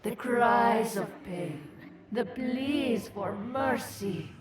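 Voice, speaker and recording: A menacing female game villain, cruel and demonic. She draws out each phrase extremely slowly. The voice rings from a distance in an echoing space with a loud crowd behind it. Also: on the high side. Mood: cruel gloating. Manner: slow, savoring, menacing delivery. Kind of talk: dramatic video game acting.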